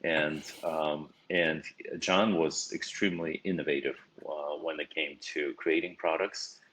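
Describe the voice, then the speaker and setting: A middle-aged man from Russia, a company CEO answering interview questions in a measured way with small restarts. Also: very deep voice, fairly even intonation, a bit bright, slightly rough, rough recording. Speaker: CEO guest.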